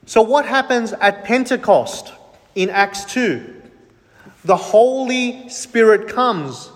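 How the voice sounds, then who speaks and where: High-voiced preacher in an echoey hall. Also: male voice, lively intonation, slow. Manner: emphatic, asks the congregation questions and answers them himself. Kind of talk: sermon.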